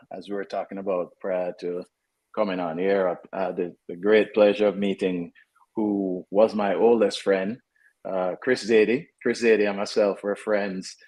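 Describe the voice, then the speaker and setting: A Jamaican man in his fifties, a soccer head coach, talking casually in an interview with relaxed fillers and restarts. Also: sits low, normal speed.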